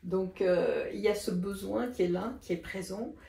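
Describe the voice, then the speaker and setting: Woman interviewer, gravelly voice in echoey room. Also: mid-range voice, normal speed, warm tone. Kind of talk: conversational interview.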